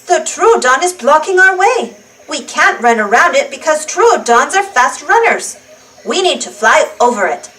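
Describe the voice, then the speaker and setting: A woman reading a storybook aloud to young children in an animated, deliberate way, stressing long words. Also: sits high, recorded from a distance, low-quality recording.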